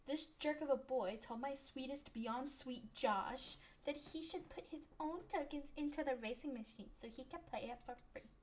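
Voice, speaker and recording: A spiteful, shrill mother hurling accusations at a child, her voice pitched a little high and sharp with outrage, as a woman puts her on. A game character with a faint hiss of noise behind her. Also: normal speed, hardly any pauses, natural voice. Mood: furious. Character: furious entitled mother scolding a boy.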